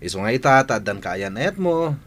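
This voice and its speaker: A man's voice: mid-range voice, very animated, fairly quick, fairly bright tone. A man playing a joking, nudging best buddy in an Ilocano radio comedy drama, with an Ilocano accent. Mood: teasing, advising.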